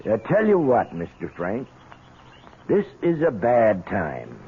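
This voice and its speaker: An actor playing a cautious, deferential, folksy stable hand in seventies radio drama. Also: male voice, fairly low pitch, huge pitch swings, takes it slowly, slightly rough, full character voice. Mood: concerned. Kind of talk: 1970s radio drama acting. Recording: tinny old radio broadcast with hiss.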